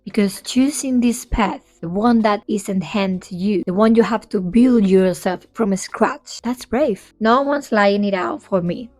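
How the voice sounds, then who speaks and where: A young woman from Spain, whose first language is Spanish, talking in English in a soft-spoken, candid and reflective way to her camera. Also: medium pitch, a little slow, hardly any pauses, dry recording. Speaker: vlogger and independent singer. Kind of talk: improvised personal talk to camera.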